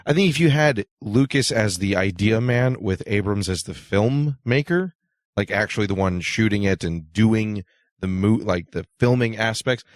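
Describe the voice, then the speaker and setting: Guy co-host, deeper voice, dry close sound, just casual podcast chat. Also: normal speed, no room sound.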